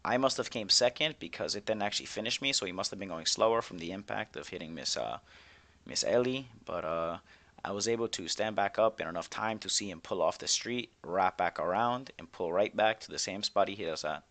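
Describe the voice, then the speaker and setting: Low-voiced man rambling through courtroom testimony. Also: animated, fairly quick, fairly bright tone. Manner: rambling, filler-heavy storytelling. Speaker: roleplayer as a defendant in court. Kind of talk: casual roleplay testimony.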